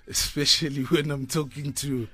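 Relaxed man, chatty. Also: mid-range voice, a little slow, husky, no echo. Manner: relaxed, laughs often, chatty. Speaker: voice-over artist guest. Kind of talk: casual radio chat. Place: radio studio.

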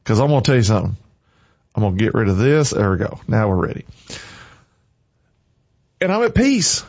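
A man's voice: lowish voice, huge pitch swings, fairly quick, vocal fry, a little mellow, no echo. Male morning radio host, preachy and fervent, dry close studio sound. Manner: fervent, preachy, repeats short commands. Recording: dry, slightly muffled studio.

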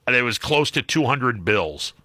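A man's voice: medium pitch, big pitch swings, moderate pace, sharp tone, dry recording, clean studio sound. An American man hosting a radio call-in show, talking casually in a wry, hesitant, conversational way. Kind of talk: casual talk radio monologue and caller chat. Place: radio studio. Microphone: close studio microphone.

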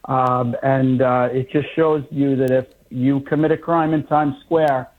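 A man co-hosting a radio talk show, speaking in a measured way with some restarts. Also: medium pitch, little pitch movement. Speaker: radio co-host. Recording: tinny phone-like line.

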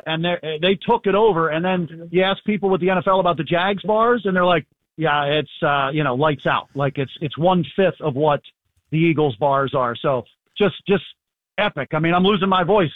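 A hoarse, fairly high-voiced man rambles easily over a tinny phone line. His dry, close sound and scratchy throat make it plain he is calling in from far away. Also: no echo. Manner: rambling, easygoing, many fillers. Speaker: sports reporter calling in. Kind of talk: casual radio phone interview. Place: abroad, away from the studio. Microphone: phone call.

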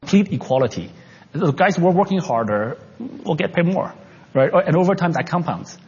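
A man from China who was raised in Canada, speaking as an investor and entrepreneur in an unscripted interview, with halting speech full of restarts and fillers. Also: fairly high pitch, big pitch swings, darkish tone, breathy edge, in a large hall, lo-fi sound.

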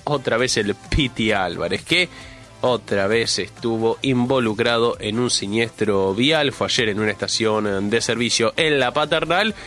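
A man's voice: medium pitch, measured pace, a bit bright. A man with a Buenos Aires accent hosting live radio, running through the news conversationally with his own opinions, repeating phrases for emphasis, while suffering from a cough and a cold. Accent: Argentine, Buenos Aires. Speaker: radio host. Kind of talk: live radio show opening, news rundown with commentary. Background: music bed.

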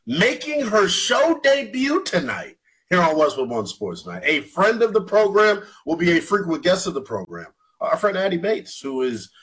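Male sports talk host, twenties, animated delivery with a husky edge. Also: sits high, normal speed. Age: 20s. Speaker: sports radio show host. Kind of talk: sports talk radio hosting.

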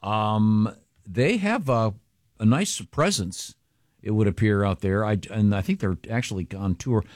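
A man's voice: fairly low pitch, lively intonation, unhurried, darkish tone, no echo. A man hosting a radio show, talking in a rambling, hesitant way with dry jokes. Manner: rambling, hesitant, wry humor. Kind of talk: casual radio talk. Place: radio studio.

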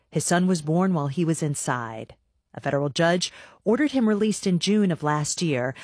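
A woman hosting a radio interview, delivering scripted introductions and questions in a measured, articulate way. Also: on the low side, darkish tone, no echo, clean studio sound.

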